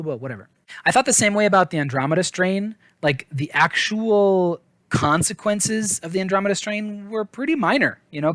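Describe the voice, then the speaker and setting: A man's voice: a little high, fairly bright tone, dry recording. A man giving a casual book review in an informal, chatty, self-deprecating way.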